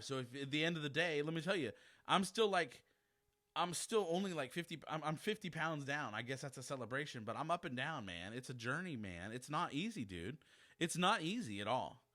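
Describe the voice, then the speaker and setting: Podcast host, forties, man, bright forceful voice, chatty and self-deprecating. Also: medium pitch. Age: 40s. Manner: chatty, animated, self-deprecating, addresses listeners directly. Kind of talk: casual solo podcast monologue.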